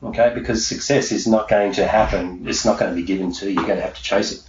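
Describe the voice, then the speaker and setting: Australian male coach-interviewer, deep voice, emphatic and questioning, muffled recording with room noise. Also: fast. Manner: emphatic, poses rhetorical questions and answers them. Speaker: podcast host and mindset coach. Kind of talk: conversational motivational interview. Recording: echoey room, muffled, noisy background.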